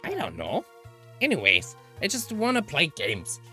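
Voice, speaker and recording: Woman putting on a high, cheerfully sinister young woman. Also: wildly swooping pitch, a little slow, sharp tone, soft background music, full character voice. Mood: breezy, cryptic. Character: breezy, cryptic, sinister young woman in a visual novel. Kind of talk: visual novel character dubbing.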